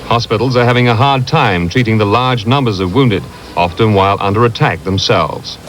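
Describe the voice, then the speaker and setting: A man filing a scripted news report from abroad in a grave, steady way. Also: fairly low pitch, normal speed, soft background music. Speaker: foreign correspondent.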